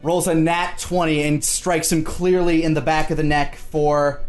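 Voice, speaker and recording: A man's voice: fairly high pitch, level tone, a little slow, soft background music. A man narrating an improvised tabletop fantasy game as game master, in a casual, colloquial manner.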